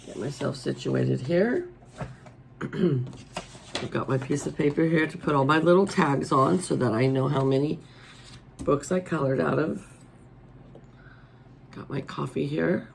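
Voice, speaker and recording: A raspy-voiced middle-aged woman hosting a casual show-and-tell, chatty and homey, filmed at her table at home. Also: deep voice, unhurried, long pauses, a little hiss or hum. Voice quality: raspy today. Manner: chatty and homey, many ums. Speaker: coloring channel host. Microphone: overhead camera over the table.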